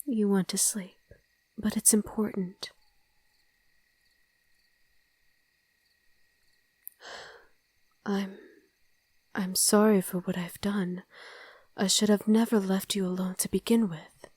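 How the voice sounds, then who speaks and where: A woman's voice: mid-range voice, steady tone, a little mellow, husky, no room sound. A woman playing a loving, wistful vampire wife in an intimate ASMR roleplay, speaking tenderly and often in a whisper, close to the microphone. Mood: apologetic. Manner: slow, tender, coaxing murmur. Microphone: close, dry microphone.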